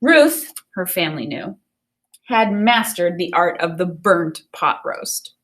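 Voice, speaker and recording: A woman's voice: lowish voice, lively intonation, slow. Woman teacher reading aloud. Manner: explanatory, checks understanding with "right?". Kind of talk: read-aloud of a children's picture book with teaching commentary.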